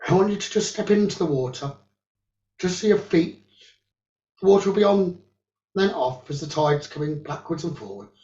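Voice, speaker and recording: A man leading a guided meditation as a teacher, giving gentle instructions in short phrases with long pauses. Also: fairly high pitch, animated. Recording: roomy echo, dull lo-fi sound.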